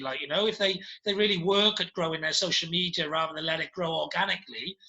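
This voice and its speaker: Welsh podcast host guy, really bright sharp voice, high for a man, chatty and direct. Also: rough recording. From Cardiff, Wales. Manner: direct, talkative. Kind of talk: casual chat.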